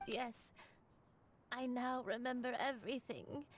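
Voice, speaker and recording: An actress playing a gentle, remorseful old grandmother in a video game, speaking slowly and solemnly. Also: on the high side, slight background noise, lightly acted. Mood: remorseful, tender. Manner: slow, solemn, tender. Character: gentle old grandmother, secretly a wild crane spirit, remorseful. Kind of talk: scripted game voice acting.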